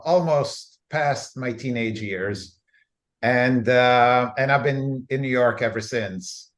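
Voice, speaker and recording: A middle-aged man from Holland and Italy, a guest in a live online interview over a video call, relaxed and hesitant with many uhs. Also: medium pitch. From born in Holland, grew up in Italy.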